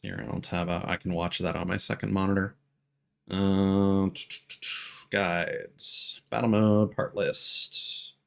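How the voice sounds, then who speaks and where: A man's voice: deep voice, fairly even intonation, slow pace, creaky. A man doing casual live gameplay commentary as a streamer, thinking aloud as he plays. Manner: thinks aloud, mild swearing. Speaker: video game live streamer.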